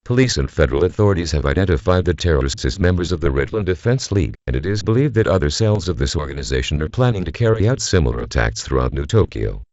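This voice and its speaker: A man playing a grave government announcer, reading a scripted emergency bulletin in a formal, measured, solemn manner. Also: rumbling low, animated, darkish tone, no echo, dull audio. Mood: grave, official. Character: grave male government civil defense announcer.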